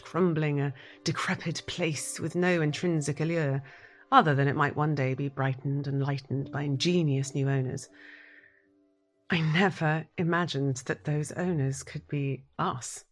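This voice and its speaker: An actress playing a troubled, withdrawn wife who has lost her hearing, narrating an audio drama in a breathy, deliberate, introspective way. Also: low voice. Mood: wistful, regretful. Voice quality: breathy, husky.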